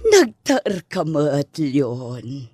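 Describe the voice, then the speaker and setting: A woman's voice: deep voice, big pitch swings, extremely slow, dark tone, husky, no room sound, clean studio sound, heavily acted. A woman playing a coy neighbour being courted in an Ilocano comedy drama, with an Ilocano accent. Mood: coy, amorous.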